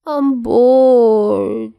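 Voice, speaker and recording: A woman's voice: fairly high pitch, fairly even intonation, creaky, clean tone, pitched above the performer's own voice, exaggerated voice. A woman voicing a giggly, tearful teenage boy drugged after dental surgery, whining and dragging out words with a mouth muffled by cotton. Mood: whiny, pouting. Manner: whiny, drawn-out words.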